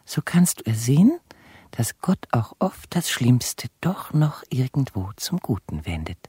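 Radio crime drama: solemn, genteel letter voice, extremely husky, dark and close. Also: male voice, mid-range voice, very animated, measured pace, no echo, full character voice. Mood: solemn, pious. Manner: florid, solemn. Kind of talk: German radio crime play, letter read aloud.

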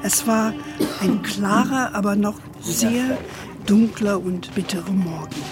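An actress playing an older, indulgent grandmother telling stories in a children's radio play, with a playful, calm manner. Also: mid-range voice, music in the background, natural voice. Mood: gentle storytelling. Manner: unhurried, sprinkles English endearments.

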